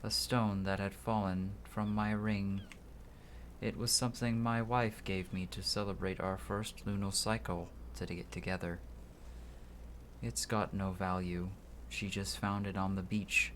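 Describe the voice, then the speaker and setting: A grieving, restless soul from a video game, read by a man in a low voice. He speaks earnestly and mournfully as a lost husband, with faint noise behind. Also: little pitch movement, unhurried, fairly bright tone.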